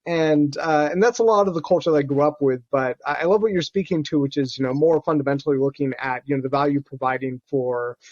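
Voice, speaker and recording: A man's voice: on the high side, fast, hardly any pauses, darkish tone, no room sound, muffled recording. A man hosting a podcast interview, talking in a loose, reflective way full of fillers. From United States. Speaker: podcast host.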